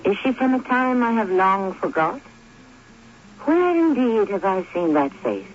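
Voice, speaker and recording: An actor as the suave host of a radio mystery, narrating in a dramatic, literary, deliberate way on an old broadcast. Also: male voice, high-pitched, very animated, highs cut off, slight background noise.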